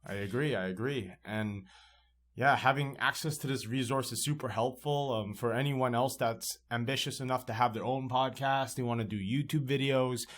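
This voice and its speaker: A man's voice: mid-range voice, steady tone, normal speed, a bit bright. A man in his thirties hosting a casual podcast chat from a library recording studio, upbeat and rambling with restarts. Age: 30s. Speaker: podcast host.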